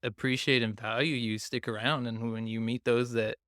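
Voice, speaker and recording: A man from Taiwan, a guest on a podcast, talking reflectively and casually, often pausing to search for words. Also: mid-range voice, flat delivery, a bit bright, dry recording, studio quality. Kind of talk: casual conversation.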